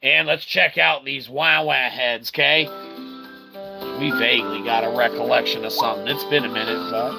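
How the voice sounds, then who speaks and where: A man's voice: mid-range voice, fairly even intonation, normal speed, creaky. A man giving casual, chatty let's-play commentary as a game streamer, rambling along and tagging his remarks with "okay" and "all right". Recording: loud game music behind the voice. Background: video game music.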